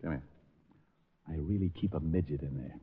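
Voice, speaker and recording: A man's voice: very deep voice, fairly quick, breathy edge, exaggerated voice. An actor playing a tough, wisecracking male private detective, wry and breezy, in 1940s radio style. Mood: sarcastic. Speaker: lead actor and first-person narrator. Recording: dull vintage radio recording with music.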